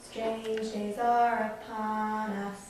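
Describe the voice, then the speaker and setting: A woman's voice: mid-range voice, level tone, drawn-out words, a little mellow. A woman playing an obedient spirit servant of a sorcerer, speaking formally in theatrical verse and dialogue. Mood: dreamy chanting. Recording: very echoey, distant, noisy room.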